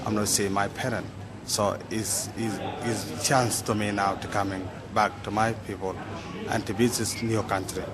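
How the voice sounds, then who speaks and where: A man in his thirties from South Sudan speaks haltingly, repeating words as he goes. His voice sits fairly low. A loud crowd roars all around him. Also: little pitch movement. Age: 30s. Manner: halting, broken phrasing with repeats. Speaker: interviewee. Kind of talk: halting personal account.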